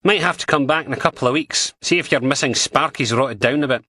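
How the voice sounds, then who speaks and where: Crude, scornful female police dog handler, raised high and loud, voiced by a man. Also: fast, no room sound. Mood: blunt, scoffing.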